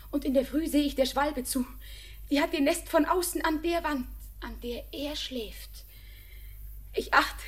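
Anna, an anxious devoted young woman. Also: high-pitched. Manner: earnest, pleading, heartfelt. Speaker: daughter in love with a miner. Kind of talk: 1900s-style radio play acting.

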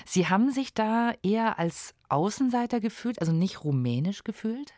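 A woman hosting a radio interview, asking calm, probing questions from a studio. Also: mid-range voice, normal speed, dry recording. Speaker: radio host.

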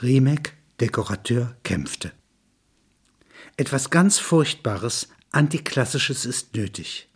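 A man's voice: mid-range voice, animated, takes it slowly, breathy edge. A man reading scripted literary narration, including quoted dialogue.

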